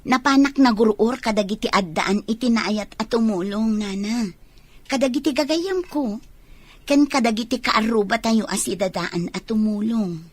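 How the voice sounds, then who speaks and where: A woman's voice: a little high, normal speed, no room sound, natural voice. A woman with an Ilocano accent playing a kind, helpful, devout young village neighbor in a radio drama. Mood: kind, reassuring.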